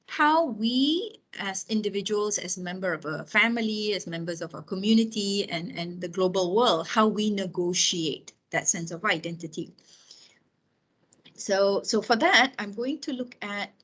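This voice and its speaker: A woman's voice: mid-range voice, measured pace, fairly bright tone. A woman in her fifties giving an informal lecture with personal anecdotes, talking conversationally and often checking in with her listeners. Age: 50s.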